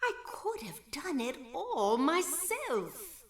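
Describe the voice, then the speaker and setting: An actress playing a manipulative, fanatical older cult mother in a video game, with theatrical, deliberate delivery. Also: high-pitched, sing-song, full character voice. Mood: rapturous. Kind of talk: dramatic video game cutscene acting. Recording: long cavernous reverb.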